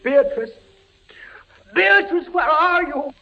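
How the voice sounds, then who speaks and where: An actor playing a fevered, terrified male prisoner of the Inquisition in a halting, theatrical 1940s radio style. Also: extremely high pitch, animated, vocal fry, noisy background, heavily acted. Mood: feverish pleading. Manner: theatrical, halting, breaks off and repeats words. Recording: dull vintage 1940s radio recording with music.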